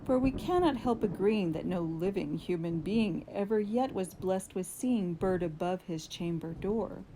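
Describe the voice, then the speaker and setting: A woman giving a dramatic, deliberate recitation of gothic poetry, with drawn-out phrasing and strong emphasis. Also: mid-range voice, noisy background. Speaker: poetry reader.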